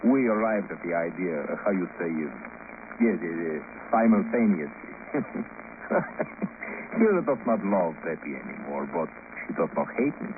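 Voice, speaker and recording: An actor playing a fat, jovially bitter South American ex-tennis champion turned chauffeur in a 1950s radio drama, with a Latin accent and halting English punctuated by chuckles. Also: male voice, deep voice, animated. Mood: wistful, amused. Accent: foreign, Latin. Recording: muffled, noisy 1950s radio recording.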